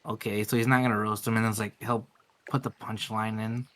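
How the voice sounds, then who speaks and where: A man's voice: on the low side, flat delivery, fairly quick, a bit bright, a little hiss or hum. A man chatting casually on a gaming stream, rambling and filling his talk with "like". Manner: rambling, heavy use of "like". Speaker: streamer co-host.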